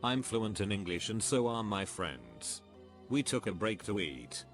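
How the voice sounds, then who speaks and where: A man reading anecdotes aloud as a scripted narrator, at a steady, even pace. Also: fairly low pitch. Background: faint background music.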